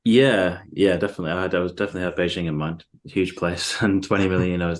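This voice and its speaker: Guy with a deep voice, guest poet doing readings n casual chat. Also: fairly even intonation, fast talker.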